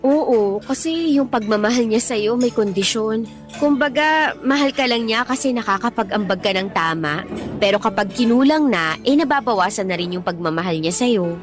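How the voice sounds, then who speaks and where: An actress playing a blunt, protective young Filipino best friend in a radio drama, scolding emphatically in slangy speech. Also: female voice, on the high side, lively intonation, moderate pace, soft background music, lightly acted. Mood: blunt, indignant.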